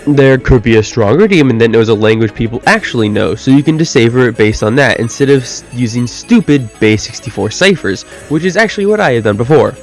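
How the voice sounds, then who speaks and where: A man with a mid-pitched voice delivers a casual opinion video over background music. He sounds exasperated, leaning on rhetorical questions and sarcastic asides that often poke at himself. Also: expressive, brisk, hardly any pauses. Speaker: video essayist.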